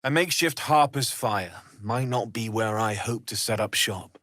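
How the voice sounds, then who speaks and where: An actor playing a kindly, earnest young blacksmith in a fantasy video game, with a husky, breathy voice and an understated manner. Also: male voice, lowish voice, normal speed, fairly bright tone. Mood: earnest, helpful. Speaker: blacksmith and trader. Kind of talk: video game character dialogue.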